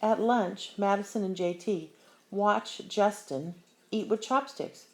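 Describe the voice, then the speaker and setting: A woman reading a children's picture book aloud with expressive, deliberate storyteller phrasing. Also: mid-range voice. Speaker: story reader.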